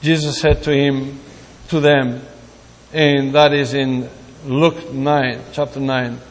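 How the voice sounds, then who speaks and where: A man from Greece preaching a sermon in a deliberate way, repeating words for emphasis. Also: mid-range voice, level tone. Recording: long hall reverb.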